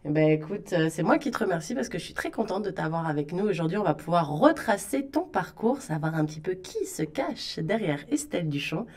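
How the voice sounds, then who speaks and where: A woman's voice: on the low side, expressive, a little fast, hardly any pauses, a little mellow. A woman from France with a Parisian accent, hosting a radio interview and asking chatty, friendly questions. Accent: Parisian French. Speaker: radio host.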